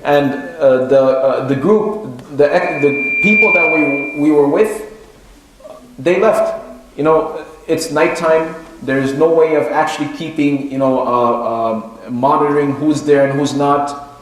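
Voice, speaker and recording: A man preaching a religious lesson, moving between Arabic recitation and English explanation in a halting, repetitive way. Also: on the high side. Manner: explanatory, halting, repeating phrases. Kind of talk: sermon retelling scripture, reciting Arabic and translating into English. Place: indoors in a mosque. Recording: echoey, reverberant room.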